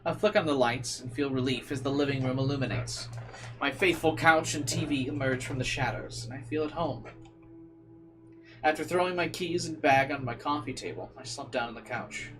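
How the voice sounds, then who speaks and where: A man's voice: medium pitch, normal speed, a bit bright, low-quality recording. A man reading a visual novel aloud as a streamer, shifting between dramatic narration and slangy, playful asides. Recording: music playing behind the voice. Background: guitar game music.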